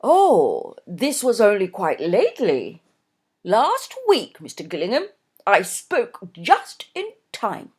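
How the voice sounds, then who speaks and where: A woman voicing a fussy, emphatic middle-aged mother with insistent, high-pitched exclamations. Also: wildly swooping pitch, takes it slowly, a little mellow, husky, heavily acted.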